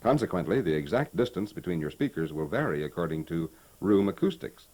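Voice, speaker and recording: An actor playing a patient, knowledgeable American hi-fi expert in a scripted, folksy instructional conversation from 1960. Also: male voice, sits low, very animated, brisk, a little mellow. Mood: assured. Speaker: presenter explaining stereo equipment. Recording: vintage 1960 promotional tape recording.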